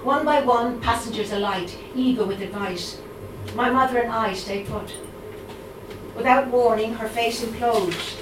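A woman's voice: a little high, background noise. Dublin-accented woman poet, distant and roomy. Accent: Dublin Irish. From Ireland. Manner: measured recitation, hesitant um-filled chat between poems. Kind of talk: live poetry reading with chatty asides. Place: live reading event in a room. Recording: roomy, distant mic, audible room ambience.

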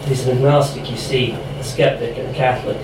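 Young male poet with a chest-infection voice, even delivery, distant mic amid room noise. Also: mid-range voice, fairly quick, very echoey. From United States. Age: young adult. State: getting over a chest infection, voice going. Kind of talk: reading own poem aloud at a live event.

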